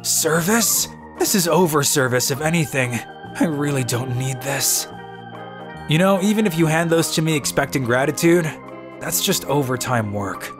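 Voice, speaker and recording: A man's voice: on the high side, animated, normal speed, over music, close to an everyday voice. A man playing a weary, cynical young office worker who grumbles and narrates his own manga story in a dry, exasperated way. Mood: resigned, grumbling. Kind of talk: dubbed manga story narration in first person.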